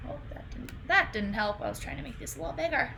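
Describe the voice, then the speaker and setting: A woman's voice: sits high, lively intonation, fast, bright, forceful tone. A woman in her thirties, a teacher talking simply to young children as she reads a storybook aloud at home. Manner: questioning, explaining simply to children. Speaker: teacher reading a picture book aloud. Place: indoors in a home room. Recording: clear background noise, home video call.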